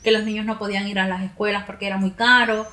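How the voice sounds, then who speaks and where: A woman with a Cuban accent, a podcast host thinking out loud in a relaxed conversation with her guests. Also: mid-range voice, fast talker. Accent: Cuban Spanish. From Cuba. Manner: reflective, speaks in long explanatory runs. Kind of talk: casual conversation.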